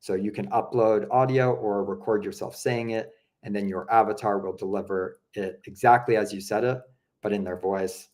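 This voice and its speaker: A young man with a slightly low voice talks viewers through a software tool. He keeps it loose and conversational, full of fillers, as if chatting across a desk. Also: moderate pace. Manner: relaxed, chatty, many fillers like "you know" and "um". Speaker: product presenter. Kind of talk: casual explanatory walkthrough.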